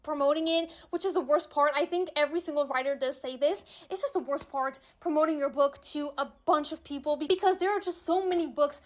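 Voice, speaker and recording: A young woman with a high voice talks to camera in a relaxed, candid way. Portuguese is her first language, and she leans on fillers and emphatic asides as she speaks. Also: a little fast, few pauses. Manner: chatty, candid, emphatic with frequent "you know" fillers. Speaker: vlogger, aspiring author. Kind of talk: casual chat to camera.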